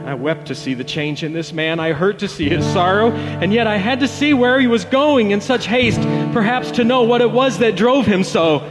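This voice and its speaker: A man telling a devotional parable as a dramatic storyteller, theatrical and emotive, with weighty pauses. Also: high voice. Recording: long reverb, music bed behind voice. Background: acoustic guitar music.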